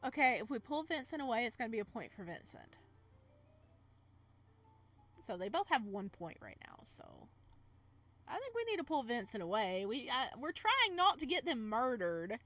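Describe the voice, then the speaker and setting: A woman streamer playing a visual novel, mixing read-aloud game text with casual, animated, giggly commentary. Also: fairly high pitch, moderate pace, long pauses.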